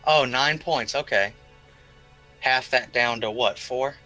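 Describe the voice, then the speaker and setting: Very bright, forceful male player. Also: medium pitch, slow. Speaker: tabletop game player. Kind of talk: casual game-table talk. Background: background music.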